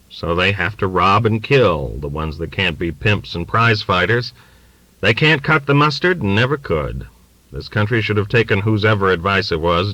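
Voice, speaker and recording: A man's voice: fairly low pitch, pushed and bright, no echo, rough recording. A man voicing an emphatic, folksy, rambling old working-class father in an audiobook. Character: bigoted, confiding, long-winded old working-class American father. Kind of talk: audiobook character dialogue.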